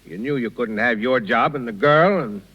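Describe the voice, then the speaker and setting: A man's voice: medium pitch, normal speed, slightly rough, close to an everyday voice. An actor playing a self-important, meddling older townsman with a patronizing manner in a 1930s radio drama. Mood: defensive, indignant. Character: pompous, meddling older male town worthy. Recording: muffled, lo-fi 1930s radio recording.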